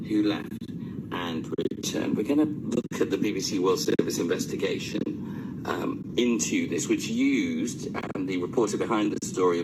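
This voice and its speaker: A male radio presenter with a fairly low voice conducts a broadcast interview. The recording is a shortwave reception with very heavy noise around his speech.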